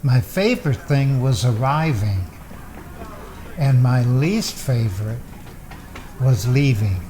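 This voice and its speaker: An older man chatting with his son at home, halting and unhurried, with a dry sense of humor. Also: medium pitch, animated, noisy background. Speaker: guest, host's father. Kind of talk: casual chat. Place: his condo, indoors.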